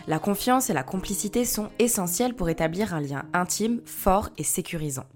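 A woman delivering scripted narration in a measured, essay-like reading. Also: medium pitch, lively intonation, darkish tone, soft background music.

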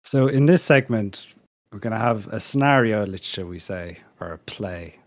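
A man with a fairly low voice hosts a casual panel show. He moves between segments in a dry, chatty style, and the sound around his voice is dry with little room. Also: lively intonation, moderate pace, dry recording. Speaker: podcast host.

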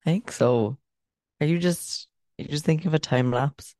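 A man's voice: a little high, moderate pace, darkish tone, no room sound. Man, podcast co-host, laid-back wry chat, laughs a lot, dry close mic. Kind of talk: casual chat. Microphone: podcast microphone.

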